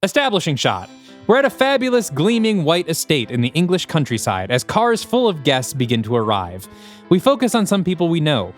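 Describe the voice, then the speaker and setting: A man reading scripted screenplay narration for an audio drama in an animated, descriptive manner. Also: on the high side, a little fast, faint music behind.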